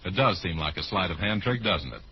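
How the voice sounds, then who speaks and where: A man's voice: low-pitched, animated, fairly quick, husky. A man announcing a scripted advertisement in 1940s radio style. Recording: muffled, hissy old radio recording.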